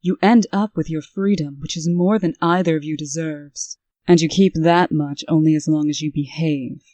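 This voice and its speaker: A woman playing a stern, brisk official in a comic dub, speaking with dry, scolding authority. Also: sits low, steady tone, warm tone, no echo, close to an everyday voice. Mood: firm, commanding. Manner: crisp, authoritative, dryly scolding. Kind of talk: scripted comic-dub acting.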